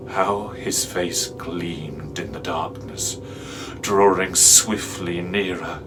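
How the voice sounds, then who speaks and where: A man playing a haunted, fearful narrator in a dramatic reading of a horror tale, speaking deliberately and theatrically with halting pauses. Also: mid-range voice, close to an everyday voice. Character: haunted, fearful man recounting a nightmarish pursuit. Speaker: actor, narrator.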